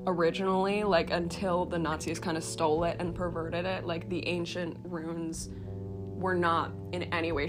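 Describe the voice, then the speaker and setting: A young woman with a stuffed-up nose explaining history in a casual talk to camera, full of ums, with sarcastic asides and some swearing. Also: lowish voice. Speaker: tarot reader and video creator. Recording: music playing underneath.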